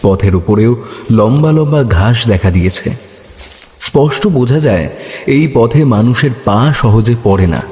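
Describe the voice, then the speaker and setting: A man's voice: mid-range voice, lively intonation, a little slow. A man narrating a scripted horror story as a storyteller. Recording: echoey, reverberant, with clear background noise.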